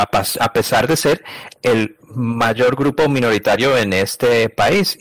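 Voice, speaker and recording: A man interpreting a conversation, speaking in a halting, stop-and-start way and often correcting himself mid-phrase. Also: lowish voice, a bit bright, no echo. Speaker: interpreter voicing a translated conversation.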